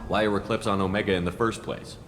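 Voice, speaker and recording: A man's voice: lowish voice, steady tone, quick pace, noisy background, close to an everyday voice. A man playing a tough, no-nonsense soldier in a video game, terse and direct. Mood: probing. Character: tough, no-nonsense male soldier hero posing as mercenary.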